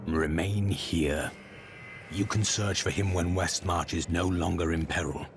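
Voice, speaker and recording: A man's voice: low-pitched, fairly even intonation, measured pace, noisy background. A man playing a grave, commanding warrior hero in a video game, speaking tersely and formally. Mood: stern. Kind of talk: video game cutscene acting.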